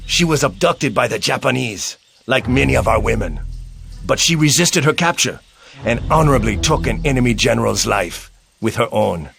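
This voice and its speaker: Gravelly, honorable Korean general. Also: male voice, mid-range voice, lively intonation. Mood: solemn. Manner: respectful, measured, formal. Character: loyal, honorable Korean army general, sober and respectful. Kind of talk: dramatic video game cutscene acting. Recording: game audio with music underneath.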